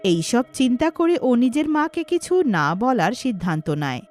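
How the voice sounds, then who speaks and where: Female storyteller for scripted moral tales, lively pitch movement, faint music bed. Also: moderate pace. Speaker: story narrator.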